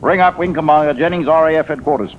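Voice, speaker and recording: A man plays a brisk British general with a fairly high, authoritative voice. His clipped radio-drama delivery comes through slightly muffled, with faint noise. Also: natural voice. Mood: brisk, commanding. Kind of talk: 1950s radio acting.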